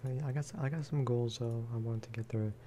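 A man speaking softly in a low-key, rambling ASMR style as he chats over gameplay. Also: steady tone, fast talker, slight background noise. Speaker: gaming video creator. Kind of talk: soft-spoken ASMR gameplay chat.

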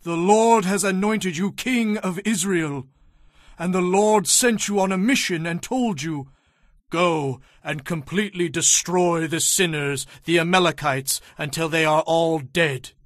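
An actor playing a stern, righteous Hebrew prophet in an audio Bible drama, declaiming in a commanding manner. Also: male voice, high-pitched, a little slow, a bit bright, no room sound, clean studio sound. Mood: indignant.

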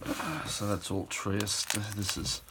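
A middle-aged man giving an informal walkthrough of auction items in an offhand, halting way, as if thinking aloud. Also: fairly low pitch, animated, gravelly, very noisy. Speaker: auction house presenter. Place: indoors at a table.

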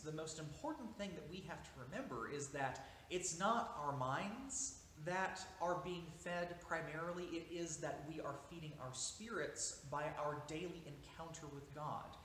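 A man in his thirties teaches a seated class in a small church, his fairly high voice rising and falling a lot as he explains. He pauses on fillers and restarts now and then. The room is echoey, with a faint hiss underneath. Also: a bit bright. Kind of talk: informal lecture. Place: small church interior, seated near a window. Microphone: camera at a few meters.

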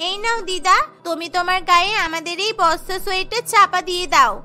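A woman's voice: very high voice, fairly quick, sharp tone. A woman voicing a caring, earnest little girl in a cartoon story. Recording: background music under the voice.

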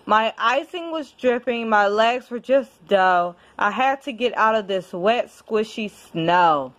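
A woman reading a children's picture book aloud at home in an expressive, deliberate storybook manner. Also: fairly high pitch. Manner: measured, deliberate storybook delivery. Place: indoors at home.

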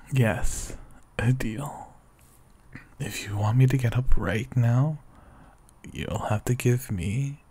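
A young man with a whispery voice speaks softly and sleepily in a teasing boyfriend roleplay, often breaking into quiet laughs. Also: fairly low pitch, breathy edge. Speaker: ASMR roleplay performer. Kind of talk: soft-spoken ASMR boyfriend roleplay.

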